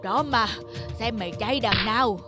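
A man's voice: high-pitched, moderate pace, over loud music, lightly acted. Man playing a spiteful, quarrelsome older cartoon neighbor. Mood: menacing. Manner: scornful, snapping. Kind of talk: cartoon voice acting.

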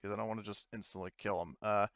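A man's voice: lowish voice, steady tone, rapid-fire, fairly bright tone, clear, resonant tone, no room sound, lo-fi sound. A man running an online tabletop game as game master, talking casually and hesitantly as he thinks aloud.

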